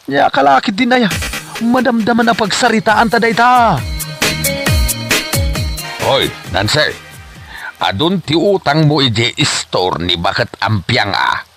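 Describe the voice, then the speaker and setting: A man's voice: sits high, wildly swooping pitch, takes it slowly, long pauses, a bit bright, faint music behind, exaggerated voice. A man playing a scolding, sarcastic father in an Ilocano comedy drama, with an Ilocano accent and theatrical nagging delivery. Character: exasperated, scolding father of an ambitious daughter. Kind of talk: Ilocano comedy radio-style drama acting.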